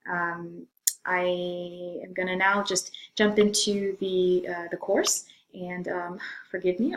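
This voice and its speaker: Echoey middle-aged woman teacher, pausing often. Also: mid-range voice. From Zambia. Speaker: middle school French teacher. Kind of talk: informal course introduction presentation. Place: room in a house, indoors. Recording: echoey room sound.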